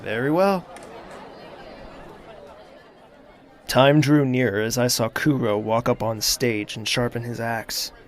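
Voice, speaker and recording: An actor playing a weary, suspicious male royal in an audio drama, speaking and narrating in a measured, brooding way. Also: medium pitch, some chatter behind, close to an everyday voice. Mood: grim. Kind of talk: audio drama acting and first-person narration.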